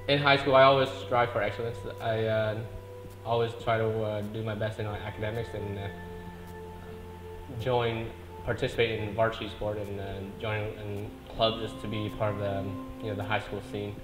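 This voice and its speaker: Army officer man, low monotone, roomy recording with music underneath. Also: moderate pace. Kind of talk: documentary interview.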